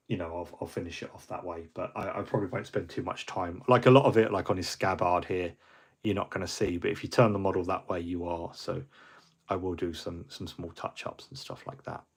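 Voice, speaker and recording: A man's voice: sits low, breathy edge. A middle-aged man, hosting a hobby livestream in a rambling, friendly way, full of ums and restarts, a little fluey. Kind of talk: casual livestream chat.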